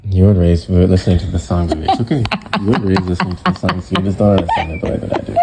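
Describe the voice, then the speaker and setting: A man's voice: low-pitched, very fast. A young man chatting casually at home with his partner. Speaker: vlogger's husband. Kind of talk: casual couple chat. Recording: clear background noise in a home recording.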